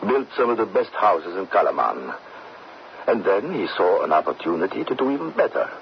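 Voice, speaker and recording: A man acting a kindly, grave middle-aged village doctor in an old-time radio drama, measured and consoling. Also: sits low, sing-song, creaky, heavily acted. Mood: reflective. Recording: dull, faintly noisy old radio broadcast.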